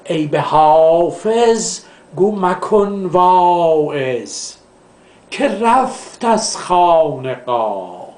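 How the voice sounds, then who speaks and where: A man from Iran, a literature professor, recites classical poetry in a measured, declamatory way, drawing out the line endings. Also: fairly high pitch, sing-song, a little mellow. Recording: echoey, reverberant room sound.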